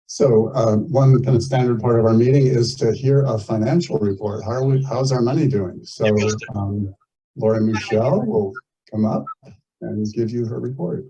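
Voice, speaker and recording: A man's voice: medium pitch, normal speed, dark tone, breathy edge. A man chairing a community meeting with informal remarks, heard on muffled meeting audio. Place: community meeting shared over video call.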